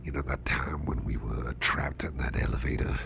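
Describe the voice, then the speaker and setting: Man voicing a very deep, gravelly, whispering grumpy boss. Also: fairly even intonation, noisy background, exaggerated voice. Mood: agitated, restrained. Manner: slow, halting, murmured, restrained. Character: big, strong grumpy male boss trying to stay calm. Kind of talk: whispered ASMR roleplay, personal attention.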